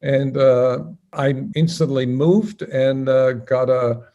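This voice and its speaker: A man's voice: medium pitch, takes it slowly, dull audio. An older man with an Austrian accent, a guest telling his story in an easygoing way, with frequent "uh" fillers. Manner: relaxed, conversational, frequent uh fillers. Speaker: guest, business founder. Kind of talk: storytelling interview answers.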